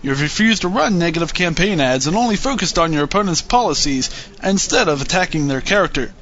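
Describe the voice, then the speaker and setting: A man playing a straight-faced political field reporter in a parody news report, formal and deadpan. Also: fairly high pitch, brisk, highs cut off. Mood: dry, probing.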